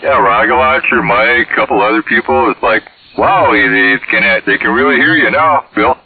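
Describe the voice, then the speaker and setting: A man's voice: medium pitch, moderate pace. A man chatting casually over CB radio in a hesitant, folksy way. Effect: radio transmission. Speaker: CB radio operator. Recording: tinny, band-limited CB radio signal.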